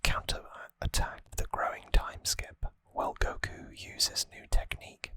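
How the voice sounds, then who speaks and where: A hushed ASMR host whispers slowly and explains things step by step. The whisper is bright and crisp, recorded close up in a dry space with no room sound. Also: no echo. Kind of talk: whispered ASMR trivia recap. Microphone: close microphone.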